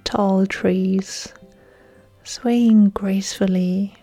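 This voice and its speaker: Woman yoga teacher doing guided relaxation, soft soothing breathy voice, pretty even pitch, faint music behind. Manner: soft, breathy, soothing delivery with long pauses. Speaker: yoga instructor.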